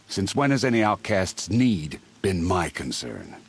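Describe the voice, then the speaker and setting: Deep, scornful war chief, swooping delivery. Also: male voice, very animated, takes it slowly, creaky, breathy edge, heavily acted. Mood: sneering. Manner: haughty, sneering, theatrical. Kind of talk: video game cutscene acting.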